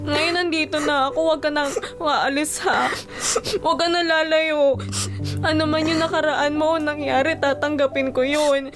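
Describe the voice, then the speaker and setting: A woman's voice: sits high, steady tone, normal speed, music in the background. A woman playing a devoted, emotional young best friend in an audio drama. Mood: tearful, pleading. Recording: clearly noisy ambience behind voice.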